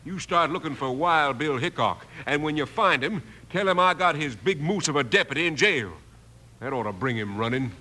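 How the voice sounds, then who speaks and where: An actor playing a bullying American crooked sheriff and henchman in an old-time radio western, barking gruff threats. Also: on the high side, animated, moderate pace, sharp tone. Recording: noisy old radio broadcast recording.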